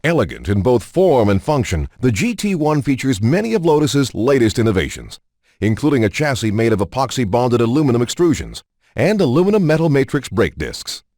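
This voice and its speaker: A male narrator delivers a polished, scripted showcase for a racing game. His pitch sits in a middle range but moves in an animated way from phrase to phrase. The sound is close and dry, with very little room on it. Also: a little fast, dry recording.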